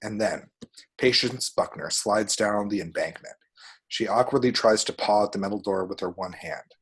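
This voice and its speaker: Male narrator, husky, fairly deep, scripted action descriptions, dramatic delivery. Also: moderate pace, fairly bright tone. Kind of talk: scripted screenplay narration with spoken sound effects.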